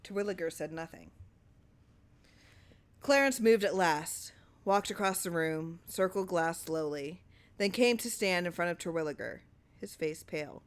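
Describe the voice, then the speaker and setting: A woman reading a short story as expressive audiobook narration, with dramatic, measured phrasing. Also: lowish voice. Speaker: story reader.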